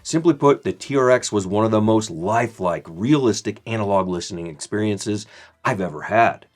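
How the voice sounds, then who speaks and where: A man in his thirties reading a scripted product review in a measured, formal way. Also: fairly low pitch, animated.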